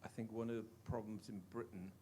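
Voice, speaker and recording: A man with a Welsh accent, a longtime teacher, asking an earnest, halting question from the floor at a panel talk. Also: lowish voice, little pitch movement, dark tone, slight background noise. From Wales. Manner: earnest, halting, many restarts. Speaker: audience member, teacher.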